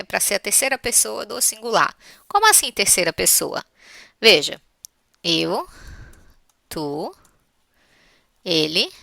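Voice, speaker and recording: A Brazilian woman gives a grammar lesson in a conversational, didactic manner. Her voice is bright and forward with a creaky edge. She lays out each point step by step and checks for understanding, in a dry recording with very little room sound. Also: a little high, no echo. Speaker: English teacher.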